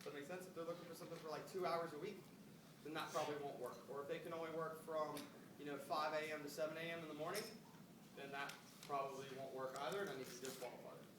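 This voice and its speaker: A man with a high voice coaches recruiters through a casual phone script. He sounds upbeat and often checks in with short tag questions. The recording is roomy and distant, with noise behind him. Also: fairly even intonation, rapid-fire. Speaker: recruiting trainer making phone screening calls. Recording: roomy, distant mic, noisy background.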